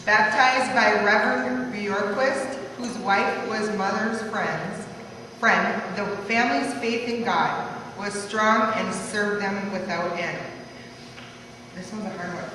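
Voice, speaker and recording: A woman's voice: mid-range voice, slow, sharp tone. A woman reading a rhyming family life story aloud, now and then stumbling over the words. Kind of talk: rhyming verse read aloud. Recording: echoey hall from far off, faint noise.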